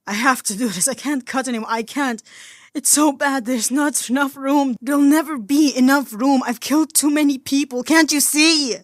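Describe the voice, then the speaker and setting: A woman's voice: sits high, moderate pace, no room sound, studio quality, voice pushed up, natural voice. A woman voicing a distraught, self-loathing man who cries hysterically and pleads in short broken phrases, in an audio story. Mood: frantic despair. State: crying, hysterical.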